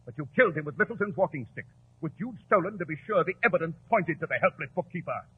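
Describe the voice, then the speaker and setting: A commanding detective on tinny old radio. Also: male voice, on the high side, expressive, fast, husky. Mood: accusing, forceful. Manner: theatrical, precise, declamatory. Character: brilliant, commanding male detective, coolly superior. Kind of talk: 1940s radio drama acting. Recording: tinny, noisy 1940s radio recording.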